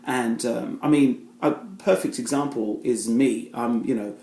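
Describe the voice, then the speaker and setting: A man's voice: mid-range voice, measured pace, warm tone, roomy sound. A British-born man giving a casual, rambling spoken review, full of fillers and restarts. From Britain. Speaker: film reviewer.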